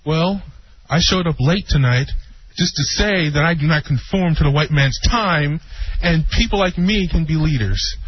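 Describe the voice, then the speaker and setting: Male wisecracker, highish lively voice, dull radio sound. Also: normal speed, husky. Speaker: guest. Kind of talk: casual radio banter.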